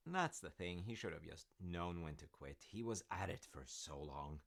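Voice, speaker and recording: A man playing a supportive, rambling dad in a roleplay audio, talking gently and hesitantly to his grown child in a gravelly voice. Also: low-pitched, expressive, moderate pace, heavily acted. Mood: amused storytelling. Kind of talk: roleplay audio, one-sided conversation.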